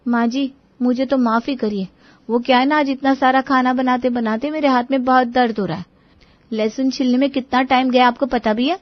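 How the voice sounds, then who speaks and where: A woman's voice: high-pitched, steady tone, brisk, no echo, close to an everyday voice. A woman playing a young daughter-in-law who complains and makes excuses with plaintive mock innocence, recorded with dull sound. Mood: whiny excuses.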